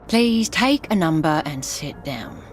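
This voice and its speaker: An actress playing a rigid, bureaucratic receptionist with a formal, officious manner. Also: female voice, low-pitched, very animated, slow, full character voice. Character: rigid, bureaucratic female rehabilitation centre receptionist. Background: loud music.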